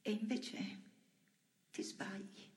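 An actress playing a weary, defiant imprisoned dissident in an intimate theatrical monologue, creaky and breathy, often dropping to a whisper. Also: female voice, medium pitch, level tone, darkish tone, reverberant, close to an everyday voice. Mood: quietly defiant. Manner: brooding, drawn-out phrasing.

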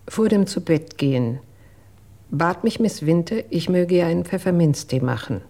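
Husky, low-toned woman giving careful testimony. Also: mellow. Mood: measured recollection. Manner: measured, careful recounting. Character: reserved, dutiful female household employee giving testimony. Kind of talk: German radio drama acting.

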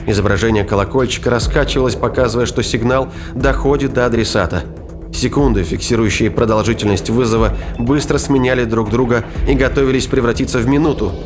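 A man narrating a science-fiction audiobook in a scripted, dramatic storytelling style. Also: mid-range voice. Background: music.

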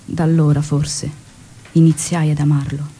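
An actress playing an earnest, stubborn young student turned guerrilla, in theatrical dialogue and recollection. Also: female voice, deep voice, flat delivery, unhurried, warm tone, husky. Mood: reminiscing. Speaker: stage actor. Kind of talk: theatrical dialogue and remembered narration.

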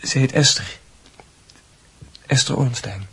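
Young male student for radio play: very husky mid-range voice, dutiful, halting, evasive with his elders. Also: mid-range voice, bright, forceful tone, exaggerated voice. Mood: confiding, uneasy. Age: young adult. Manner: halting, hesitant delivery. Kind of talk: radio drama acting.

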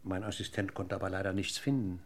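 A methodical police inspector in a radio crime play. The voice is low and gravelly. He probes with deliberate courtesy and never lets go of a point. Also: steady tone. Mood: politely probing. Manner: deliberate, courteous interrogator's delivery. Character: male police inspector, probing, methodical, politely insistent. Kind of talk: German radio crime drama acting.

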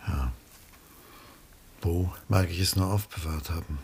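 An actor playing a reserved, world-weary, melancholy middle-aged artist in a 1960s German radio play, measured and guarded. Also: male voice, deep voice, vocal fry, darkish tone, a little hiss or hum. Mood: hesitant, melancholy.

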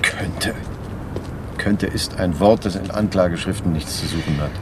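Casting: pedantic, sceptical male prosecutor, actor, low register, condescending, radio crime play, noisy outdoor ambience. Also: normal speed, lightly acted. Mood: sceptical, stern. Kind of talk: German radio crime drama acting.

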